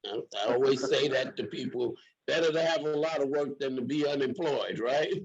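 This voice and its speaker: Male program host, gravelly and rough-edged, emphatic and repetitive in casual interview talk. Slightly muffled call sound. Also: medium pitch, moderate pace.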